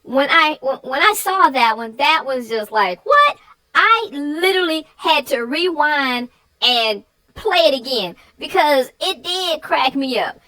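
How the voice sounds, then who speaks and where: A woman's voice: sits high, lively intonation, measured pace, sharp tone, poor audio. A woman co-hosting a children's read-aloud show, chatting about a story with young viewers.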